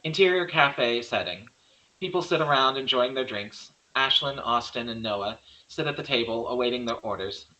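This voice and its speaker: A man's voice: medium pitch, moderate pace, bright, forceful tone, poor audio. A man narrating a horror screenplay, reading out scene headings and stage directions.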